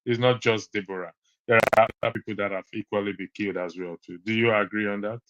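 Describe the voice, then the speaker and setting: A man's voice: lowish voice, steady tone, quick pace, background noise. A man moderating a heated online debate, often cutting in to take back the floor. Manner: keeps interjecting to regain the floor. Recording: dry, dull lo-fi call audio.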